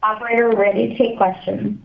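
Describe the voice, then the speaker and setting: A woman's voice: mid-range voice, flat delivery, fast, gravelly. A woman reading scripted investor relations notices as the moderator of an earnings call. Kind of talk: scripted corporate disclaimer reading. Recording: dull call audio with faint noise.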